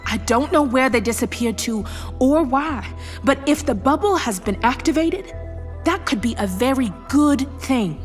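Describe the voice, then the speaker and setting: A woman playing a sharp-tongued, defiant small-town scientist with a folksy, commanding manner in an audio drama. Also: sits high, expressive, normal speed, faint music behind. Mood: grave explaining.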